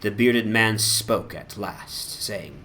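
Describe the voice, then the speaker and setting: Slow, solemn male storyteller. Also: lowish voice, bright, forceful tone, a little hiss or hum. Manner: measured, solemn, theatrical. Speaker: narrator, reader. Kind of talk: dramatic audiobook reading of literary fantasy. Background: atmospheric music.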